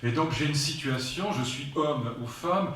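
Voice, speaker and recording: A man's voice: on the high side, unhurried, fairly bright tone. Man in his sixties lecturing in an echoey hall. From France. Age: 60s. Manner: digressive and emphatic, with frequent asides to the audience. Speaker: lecturer at a philosophy conference. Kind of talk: public philosophy lecture. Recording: very echoey hall, voice picked up from far off.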